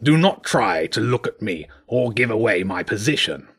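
Gruff badger monarch for a children's audiobook, performed by a man: husky, imperious, terse, highly animated delivery. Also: moderate pace, exaggerated voice. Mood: menacing. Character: gruff, commanding old badger king, menacing. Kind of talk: audiobook character voice.

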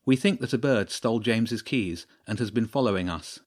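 A man's voice: fairly low pitch, fairly quick, natural voice. A man voicing an alert, polite young girl in a storybook, speaking directly and earnestly.

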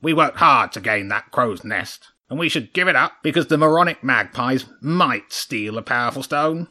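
A man voicing a huge, indignant crow in a children's audiobook, arguing forcefully and with scorn. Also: mid-range voice, lively intonation, normal speed, pitched above the performer's own voice.

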